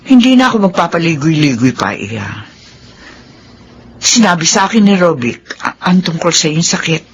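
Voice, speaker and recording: A wealthy, middle-aged Filipino mother in radio melodrama. She is controlling and persuasive, with a lively, swooping pitch and deliberate, imploring phrasing. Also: a little hiss or hum. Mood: cold, coaxing.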